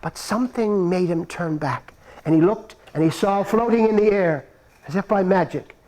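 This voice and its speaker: A man telling a folk tale at a live puppet performance, playful and animated, with chuckling asides. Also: sits high, normal speed, slight background noise, poor audio. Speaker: storyteller in a puppet show. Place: live performance before an audience.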